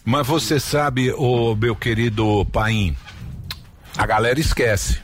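A man's voice: mid-range voice, steady tone, slow pace. A man hosting a casual political panel, talking emphatically and repeating his points.

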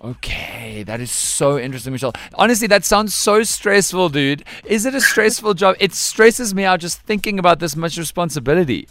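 A man's voice: sits high, lively intonation, brisk, few pauses, fairly bright tone, no room sound. A South African man hosting a radio show, interviewing in a chatty, informal and enthusiastic way. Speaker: radio breakfast show host. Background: faint background music.

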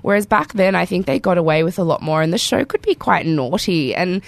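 A woman with an Australian accent hosting a casual podcast chat, her voice hoarse and croaky from a cold, chatty and enthusiastic. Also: lowish voice, expressive, brisk, no echo. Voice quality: croaky, voice cracking. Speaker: podcast host. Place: podcast studio.

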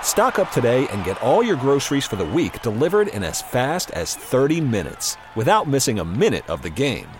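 A man's voice: mid-range voice, big pitch swings, moderate pace, hardly any pauses, background noise. A man reading a scripted commercial as an announcer. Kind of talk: scripted ad read.

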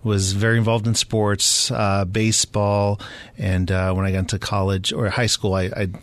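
A middle-aged man from Southern California, a native Spanish speaker with an American accent, talking casually and a little haltingly as an interview guest. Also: lowish voice, flat delivery, dry recording. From Southern California, United States. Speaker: police sergeant, interview guest.

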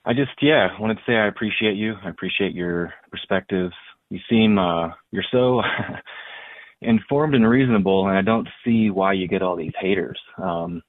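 A man's voice: low-pitched, normal speed, dry recording. A man calling in to a radio show over a telephone, chatting casually, hesitant and correcting himself. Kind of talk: casual phone-in chat. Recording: tinny narrowband phone line.

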